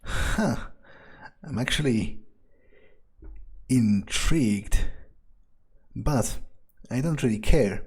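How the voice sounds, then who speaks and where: Game vampire, played by a man: unhurried and soft-toned, dry, pragmatic, muses through hesitant pauses. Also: medium pitch, expressive, slightly rough, exaggerated voice. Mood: intrigued, detached. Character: detached, pragmatic, curious male vampire. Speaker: player roleplaying a character. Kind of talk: in-character game playthrough narration.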